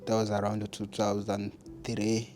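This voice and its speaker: A young man from Uganda tells his story candidly, with a fairly low voice. He speaks in a documentary interview with clear music behind him. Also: monotone, unhurried, a little mellow.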